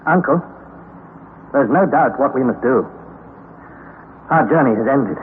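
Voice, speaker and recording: An actor playing a weary, despairing young nephew who narrates a perilous expedition in a formal, anguished radio-drama style, on a thin, noisy vintage recording. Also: male voice, mid-range voice, expressive, unhurried, phone-quality sound, natural voice. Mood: pleading. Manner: formal, anguished, theatrical delivery. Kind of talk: dramatic first-person radio play narration.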